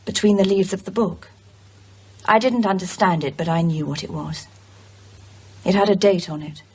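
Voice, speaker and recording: A woman's voice: lowish voice, normal speed, darkish tone, husky. A woman playing an anxious, solemn widow haunted by a curse in an audio horror drama. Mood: grave, uneasy.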